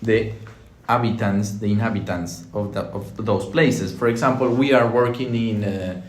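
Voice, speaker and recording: A man's voice: lowish voice, moderate pace. Young Colombian man hosting, echoey room. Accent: Colombian Spanish. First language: Spanish. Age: young adult. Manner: relaxed, searching for words, hesitant with fillers. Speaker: podcast host, architecture student. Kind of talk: casual student podcast chat.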